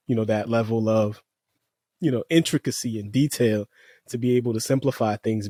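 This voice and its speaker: A man hosting a podcast, introducing and interviewing a guest in a relaxed, appreciative way with casual fillers. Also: medium pitch, no room sound, studio quality. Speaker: podcast host.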